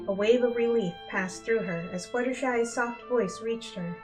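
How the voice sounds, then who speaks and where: A woman's voice: on the high side, moderate pace. A woman narrating a story as a dramatic reading, with instrumental music behind her. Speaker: fan fiction reader.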